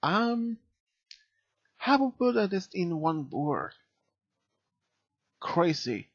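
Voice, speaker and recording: Slow, halting reviewer with lively pitch swings. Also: slightly rough. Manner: halting, searching for words. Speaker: video reviewer. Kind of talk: unscripted review commentary. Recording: dull, lo-fi mic sound.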